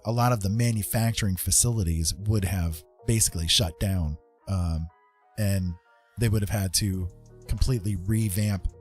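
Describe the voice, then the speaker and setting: An American man hosting a livestream, chatting casually and explaining things in an informal, halting, rambling way. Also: lowish voice, a little mellow. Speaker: livestream host chatting with viewers.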